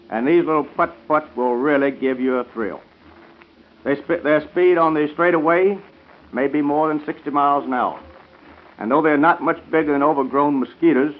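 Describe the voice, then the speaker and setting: Male guest speaker, formal and earnest, mid-range pitch, semi-scripted radio talk on a muffled vintage 1930s broadcast recording. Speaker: guest speaker promoting a boat regatta. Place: radio studio. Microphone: studio broadcast microphone.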